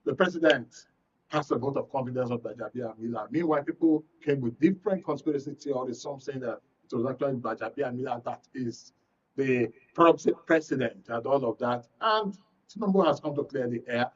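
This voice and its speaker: A man's voice: medium pitch, brisk, slightly rough. A man with a Nigerian accent hosting and moderating a live political talk show. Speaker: host. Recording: muffled, distant-mic broadcast audio.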